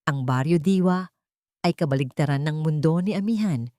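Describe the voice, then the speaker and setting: A low-voiced woman reads a scripted dramatic story. The sound is dry and close, with very little room around her. She delivers it as a storyteller narrating an audio drama. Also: moderate pace, warm tone, no echo.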